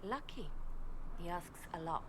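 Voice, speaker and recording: A woman playing a grieving, devout spymaster in a video game, speaking in a hushed, measured, bitter way. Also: lowish voice, animated. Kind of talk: dramatic video game acting.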